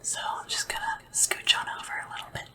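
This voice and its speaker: A performer whispering in a breathy, soothing, chatty way, playing a gentle sleep assistant to royalty in an ASMR roleplay. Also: fast, recorded from a distance. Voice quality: breathy, mostly unvoiced whisper. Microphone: close ASMR microphone, ear to ear.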